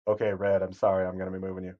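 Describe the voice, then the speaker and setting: A man giving casual, rambling commentary on a game stream, with a calm, soothing voice and frequent self-corrections. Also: low-pitched, very fast, narrow, tinny audio, faint music behind. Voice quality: soothing, sleep-inducing voice.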